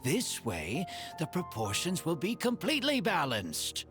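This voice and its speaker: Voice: medium pitch, huge pitch swings, measured pace, music in the background. A voice actor playing a hard-to-please client in a video game, polite and hesitant while asking for changes. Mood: fussy. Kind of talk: game voice acting.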